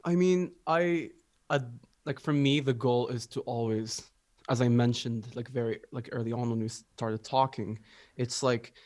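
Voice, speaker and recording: A man's voice: medium pitch, measured pace, a little mellow, no echo. A young man from Libya, a musician, talking reflectively in a casual interview with many filler words. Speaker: musician guest.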